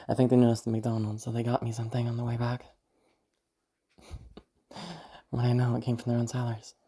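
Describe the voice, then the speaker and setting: A woman playing a detached, eerily calm sociopath who recounts the past in a halting, musing monologue with a husky, creaky voice. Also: a bass voice, heavily acted. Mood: detached reminiscing. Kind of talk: first-person audio roleplay monologue.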